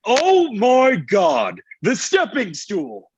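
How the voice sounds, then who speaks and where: Man playing a husky, high-voiced needy Santa. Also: wildly swooping pitch, extremely slow, full character voice. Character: Santa Claus as insecure, petulant, needy married man. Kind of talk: comedy table read.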